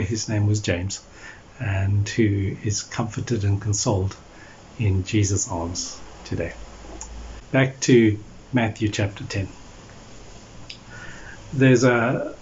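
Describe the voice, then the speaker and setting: Male pastor, 40s, mid-pitched voice, measured preaching with emphatic phrases, slightly muffled audio. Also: darkish tone. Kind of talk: sermon to camera. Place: small indoor room at home or office. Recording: dull lo-fi audio with background noise.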